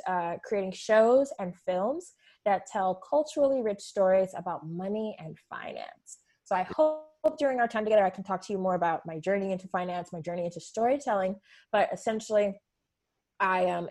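A young woman from Southern California, a podcast guest talking in a lively, enthusiastic way and laughing often. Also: mid-range voice. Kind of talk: casual interview chat.

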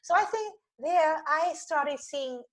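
A woman's voice: high-pitched, animated, takes it slowly, crowd noise. A woman from Italy with an Italian accent, answering interview questions in a reflective, conversational way with self-deprecating humour. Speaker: guest, leadership coach.

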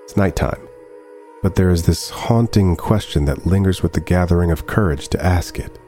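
A very low-voiced, dark and mellow AI companion , acted by a man, speaks with measured formality. He is polite, philosophical and easily slighted, as in a sci-fi audio drama with music underneath. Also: close to an everyday voice. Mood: brooding. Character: emotional, polite male AI robot companion, introspective and easily slighted. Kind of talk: scripted audio drama narration and dialogue.

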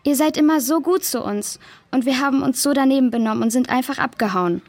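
Frau als ängstliches, reumütiges Mädchen, hoch. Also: female voice, sits high, fast talker, no echo. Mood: pleading. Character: remorseful, anxious foster girl pleading. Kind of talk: children's audio drama acting.

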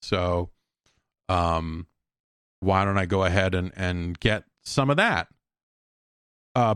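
Animated middle-aged man rambling on a podcast. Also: high voice, no room sound, studio quality. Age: late forties. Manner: frequent swearing, self-deprecating comic asides. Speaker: podcast host. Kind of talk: casual solo podcast monologue, rambling. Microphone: close podcast microphone.

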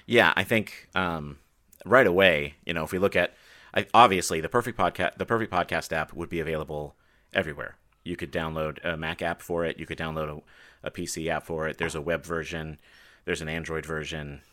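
A man's voice: extremely low pitch, fairly even intonation, brisk, sharp tone, no room sound, clean studio sound. A man in his thirties hosting a casual tech podcast, sounding nasal from a head cold and chatting freely with many restarts. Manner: chatty, talks a lot with restarts. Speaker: podcast host.

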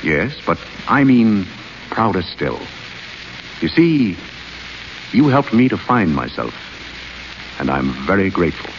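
An actor playing a calm, dry-witted gentleman butler in 1930s radio acting, gentle and patient, on a muffled old radio broadcast. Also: deep voice, slow, long pauses, a little hiss or hum, full character voice.

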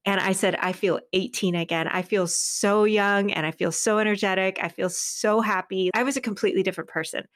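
A middle-aged woman chats as an interview guest in a close, dry recording with very little room sound. Her voice sits at an average pitch for a woman. She tells her stories effusively, slipping into re-enacted dialogue as she goes. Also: brisk, hardly any pauses, dry recording. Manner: animated, effusive, re-enacts dialogue, laughs often. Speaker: podcast guest, author and creative. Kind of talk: casual interview storytelling.

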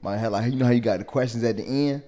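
A man with a Southern US accent chatting on a podcast in a soft-spoken, slangy way. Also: mid-range voice, rapid-fire. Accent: Southern US, Atlanta area. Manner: soft-spoken, says he has a soft voice. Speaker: podcast guest. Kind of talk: casual chat.

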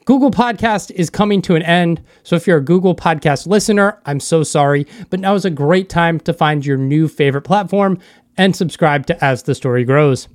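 A man's voice: fairly high pitch, moderate pace. A man hosting an interview podcast, speaking in a casual, conversational way to listeners and guests. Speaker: podcast host. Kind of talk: podcast interview with scripted-sounding intro.

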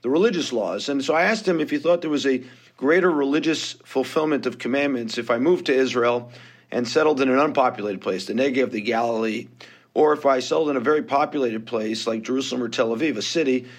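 A man's voice: medium pitch, quick pace. A man in his fifties with a New York accent, telling his story as a guest on a podcast interview in a candid, self-deprecating way. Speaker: guest investor.

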